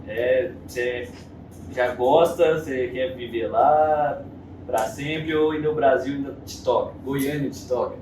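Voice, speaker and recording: A man's voice: mid-range voice, measured pace, a little mellow. A Brazilian man with a Goiás accent, hosting an interview and asking short, casual questions. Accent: Goiás Brazilian Portuguese. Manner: brief conversational prompts. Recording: echoey room, distant mic, noisy.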